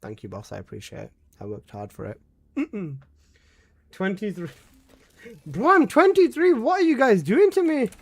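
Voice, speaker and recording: Lively, high-voiced young man streaming. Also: normal speed, long pauses. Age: 20s. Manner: swearing, joking, reading out chat. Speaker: game streamer. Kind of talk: casual chat with live chat.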